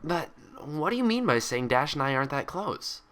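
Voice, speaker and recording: An earnest young cartoon mare, put on by a male storyteller, speaking in a raised, lively voice. She sounds urgent and dryly exasperated, always ready to defend herself. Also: on the high side, moderate pace, pitched above the performer's own voice.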